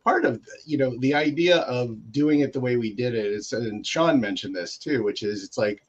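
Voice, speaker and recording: A man's voice: mid-range voice, moderate pace, husky. Middle-aged man with dull, lo-fi sound. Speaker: guest creator. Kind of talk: casual panel chat.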